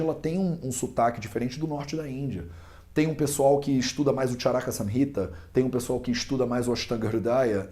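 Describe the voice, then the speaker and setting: Young Carioca man with a warm voice. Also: medium pitch. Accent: Carioca Brazilian Portuguese. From Brazil. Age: young adult. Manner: patient explaining with everyday analogies, frequent restarts. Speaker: Ayurveda student interviewed as a guest. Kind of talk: explanatory interview answer.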